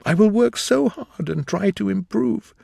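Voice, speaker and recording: A man's voice: high-pitched, animated, unhurried, vocal fry, dark tone, no room sound, studio quality, voice pushed up, heavily acted. A man voicing a desperate young actress who begs her lover not to leave her. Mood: desperate pleading. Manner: tearful, imploring.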